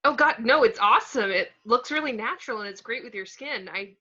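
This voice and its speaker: A woman's voice: a little high, animated, a little fast, bright, forceful tone. A woman playing an earnest, thoughtful female college student in an amateur table read. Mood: surprised, reassuring. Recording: dull lo-fi audio, noise, clicks.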